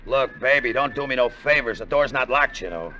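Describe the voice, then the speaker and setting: An actor playing a bitter, short-tempered, broke American gambler in a hard-boiled 1950s radio drama, tough and slangy. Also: male voice, mid-range voice, lively intonation, brisk, sharp tone. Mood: irritable, jumpy. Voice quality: tough, slangy, curt delivery. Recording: dull vintage radio recording, music behind.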